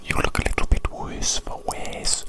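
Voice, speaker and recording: A woman whispering an ASMR roleplay as a doting evil doctor, soothing and often repeating soft phrases. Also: moderate pace. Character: doting evil doctor tending their monstrous creation. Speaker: ASMR performer.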